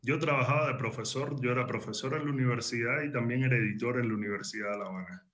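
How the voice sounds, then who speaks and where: A man's voice: medium pitch, quick pace, a bit bright. Cuban man giving earnest interview answers. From Cuba. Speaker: interview guest, exiled journalist and editor.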